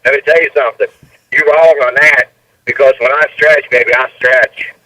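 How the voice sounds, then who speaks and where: A man's voice: medium pitch, steady tone, moderate pace, pushed and bright. A man from Texas calling in over the phone, joking and playful in a drawling way. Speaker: caller. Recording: phone line.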